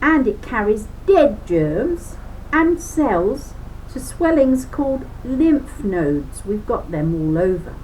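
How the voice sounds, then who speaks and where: A woman's voice: fairly high pitch, sing-song, takes it slowly, mellow. Woman reading aloud, noisy recording. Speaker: reader of a children's book. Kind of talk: read-aloud lesson for children with chatty asides.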